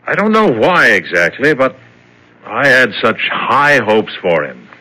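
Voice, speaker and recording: A cold, condescending prison boss. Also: male voice, mid-range voice, very animated, unhurried, sharp tone, full character voice, rough recording. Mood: brooding. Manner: condescending, clipped. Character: cold prison chief, cruelly calm. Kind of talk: 1970s radio drama acting. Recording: old radio drama recording.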